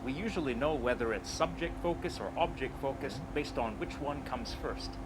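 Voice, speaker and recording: A man reads a scripted explanation in a steady, measured way, with a mid-range voice. His voice comes through heavy background noise, as if played back from another video. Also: mid-range voice. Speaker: narrator of an educational video. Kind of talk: scripted narration.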